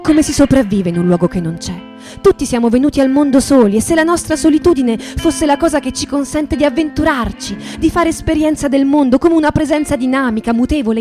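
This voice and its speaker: A woman performing a dramatic literary recitation in radio theatre, speaking in a poetic, deliberate, litany-like way. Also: high-pitched, mellow. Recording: voice over acoustic guitar music. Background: acoustic guitar.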